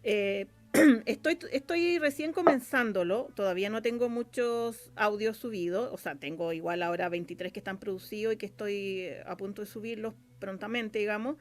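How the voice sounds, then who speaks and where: A woman with a Chilean accent explaining things as an interview guest, colloquial and a little halting as she thinks aloud. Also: mid-range voice. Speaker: interview guest, radio drama scriptwriter and producer. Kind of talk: casual conversational explaining.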